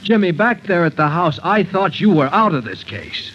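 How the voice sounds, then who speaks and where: A young actor playing an eager, earnest fireman in an old-time radio drama, deferential and excitable. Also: high voice, moderate pace, heavily acted. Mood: suspicious, probing. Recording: muffled, lightly noisy vintage radio recording.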